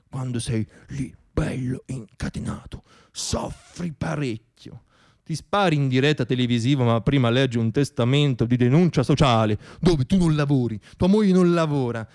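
A man's voice: mid-range voice, creaky, a little mellow. A man playing a cynical, pushy media man who patronises protesters in a satirical radio theatre monologue.

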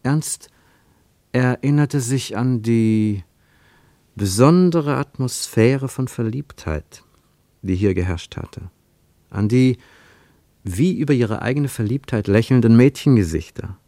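A man narrating a radio drama in a measured, expressive literary storytelling manner. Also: mid-range voice, dry recording, clean studio sound.

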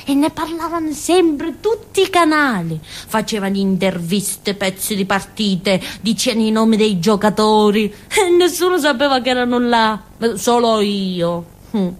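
High-voiced naive southern Italian village girl. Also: animated, unhurried, hardly any pauses. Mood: animated, wondering. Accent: southern Italian, Calabrian. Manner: childlike, colloquial, rambling, with little interjections. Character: naive, stubborn village simpleton girl telling her story. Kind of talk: theatrical monologue, radio drama storytelling.

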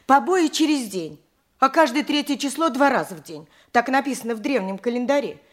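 A woman's voice: high-pitched, animated, a little fast. An actress playing a chatty, worldly-wise married peasant woman in an audio drama, talking in a matter-of-fact, gossipy way. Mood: matter-of-fact, persuasive. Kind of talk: theatrical audio drama with first-person narration.

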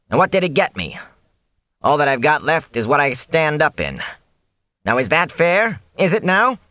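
Man acting out a raspy, whining ragged peddler. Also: medium pitch, sharp tone, no echo, voice pushed up. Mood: aggrieved. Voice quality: raspy, hoarse. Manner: whining, jittery, aggrieved. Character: ragged, frantic, whining male peddler, wary and self-pitying. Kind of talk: audiobook character voice.

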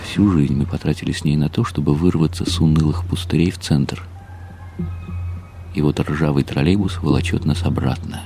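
World-weary ironic husband narrating a radio play; very deep, mellow, bitterly resigned, light music underneath. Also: male voice, normal speed, vocal fry. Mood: weary, wry. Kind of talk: dramatic literary narration in an audio play.

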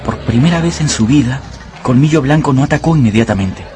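A man's voice: medium pitch, fairly quick, a little mellow, soft background music, lightly acted. A man narrating a grim adventure story as a dramatic, scripted radio play. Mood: suspenseful.

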